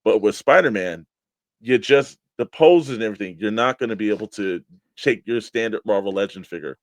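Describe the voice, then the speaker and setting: A man's voice: lowish voice, brisk, no room sound. A man with an American accent co-hosting a toy collectors' show in casual, bantering talk. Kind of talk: casual banter, sponsor reads and mock weather report.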